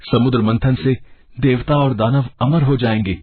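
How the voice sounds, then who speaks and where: A man voicing a composed, diplomatic god with a persuasive manner in an animated mythological story. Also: medium pitch, normal speed, slightly rough, phone-quality sound, close to an everyday voice.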